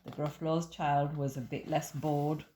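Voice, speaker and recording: A woman reading a rhyming picture book aloud in an expressive, sing-song way. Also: low-pitched, a little slow, reverberant. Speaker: teacher reading a story.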